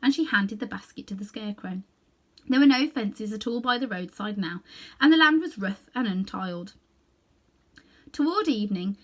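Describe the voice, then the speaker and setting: A woman narrating a children's story aloud in a measured, expressive way. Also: medium pitch. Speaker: storybook reader.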